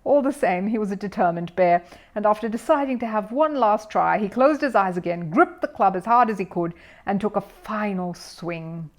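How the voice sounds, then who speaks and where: A woman's voice: mid-range voice, lively intonation, moderate pace. A woman narrating a children's storybook as a scripted reading, in the role of storyteller. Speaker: storyteller, audiobook reader.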